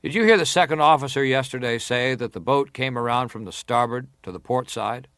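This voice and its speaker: A man acting as a senator at an inquiry, putting questions in a formal, deliberate and persistent manner. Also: mid-range voice, a bit bright, no room sound, clean studio sound, close to an everyday voice. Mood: insistent, methodical. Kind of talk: dramatized reading of hearing testimony.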